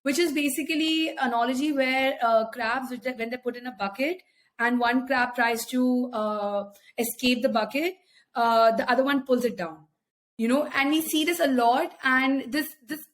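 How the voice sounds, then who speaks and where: A woman's voice: high-pitched, steady tone, normal speed. A young woman with an Indian accent, co-hosting a casual podcast, talking hesitantly with many fillers. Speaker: podcast co-host.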